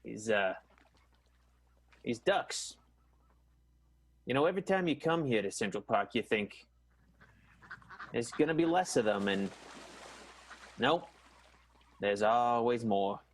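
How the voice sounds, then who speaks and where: An actor playing a glum, musing man in an improvised radio soap, deadpan and deliberate. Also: medium pitch, slight background noise.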